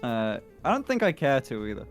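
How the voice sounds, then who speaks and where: A man's voice: mid-range voice, wildly swooping pitch, brisk. Man chatting with faint music behind. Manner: laughing often, swearing freely, rambling. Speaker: guest on a livestream call. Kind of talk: casual chat.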